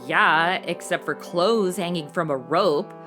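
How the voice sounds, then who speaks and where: A woman's voice: lowish voice, a little slow, a bit bright, faint music behind. A young woman playing a sassy, impatient modern twenty-something in a comedic audio drama, with a sarcastic, snarky delivery. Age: young adult. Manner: sarcastic, snarky, exaggerated reactions.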